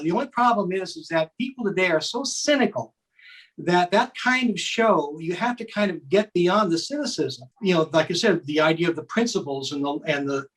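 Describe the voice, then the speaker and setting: Earnest, higher-voiced man giving interview commentary. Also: poor audio. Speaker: guest on a radio history show.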